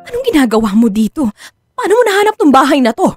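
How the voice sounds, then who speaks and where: High-pitched, defiant, fed-up wife. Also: female voice, very animated, fast, a little mellow. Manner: emphatic, scolding, emotional. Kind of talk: radio drama acting. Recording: music bed behind the voice.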